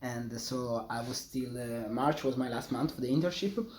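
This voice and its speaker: A man's voice: medium pitch, moderate pace, a little mellow. A man of twenty-three from Italy, speaking English, hesitant and searching for words as he records his reflections. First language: Italian. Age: 20s. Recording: echoey room.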